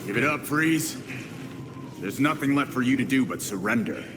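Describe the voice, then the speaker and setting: An actor playing a determined vigilante hero in a video game cutscene, speaking in a terse, dramatic way. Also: male voice, mid-range voice, lively intonation, moderate pace, very noisy, close to an everyday voice. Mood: firm. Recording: voice under loud cinematic music.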